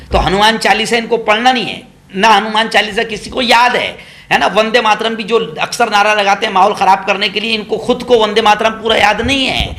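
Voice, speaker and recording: A man's voice: high-pitched, bright, forceful tone. A man giving opinionated political news commentary, sarcastic and mocking, repeating phrases for emphasis.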